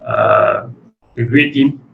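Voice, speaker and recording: A Malaysian-accented man answers casually as an interview guest. His mid-range voice has plenty of fry and gravel. The recording is somewhat muffled, with slight background noise. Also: mid-range voice, huge pitch swings, slow pace. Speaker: interview guest, animation studio chief executive. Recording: muffled lo-fi audio with faint noise.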